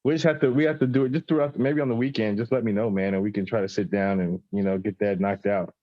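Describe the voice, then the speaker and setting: A man's voice: fairly low pitch, steady tone, fast talker. A man in a casual livestream team chat, easygoing and agreeable, often repeating short phrases. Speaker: team's financial advisor. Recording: dull, lo-fi call audio.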